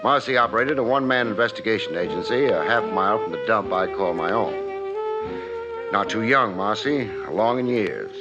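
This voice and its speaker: An actor playing a wry, hard-boiled private detective with dry, punchy delivery in 1950s radio noir style. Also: male voice, on the low side, wildly swooping pitch, normal speed. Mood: matter-of-fact narration. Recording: muffled old radio recording, faint music.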